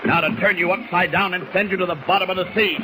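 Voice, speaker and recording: A man's voice: sits high, fairly even intonation, fast, natural voice. An American man playing a resolute superhero in a 1940s radio serial, thinking aloud in a clipped, declamatory way. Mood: determined. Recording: noisy old 1940s radio recording.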